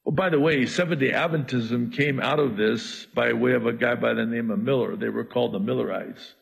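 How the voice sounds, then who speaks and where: A middle-aged man whose first language is Arabic, preaching through scripture with lively asides. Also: mid-range voice, a little fast, gravelly, husky. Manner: animated, jumping from scripture reading to asides. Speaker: pastor. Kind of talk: expository sermon.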